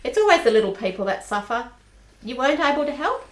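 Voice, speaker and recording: An actress playing a sympathetic, bold accomplice in an amateur radio play. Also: female voice, sits high, very animated, quick pace. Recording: roomy amateur recording.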